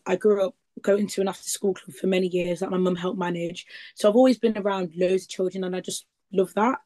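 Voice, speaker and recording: A woman's voice: medium pitch, darkish tone. A woman, chatting as a guest in a rambling, casual way full of likes and ums. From East Midlands, England. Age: 20s. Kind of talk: casual interview chat.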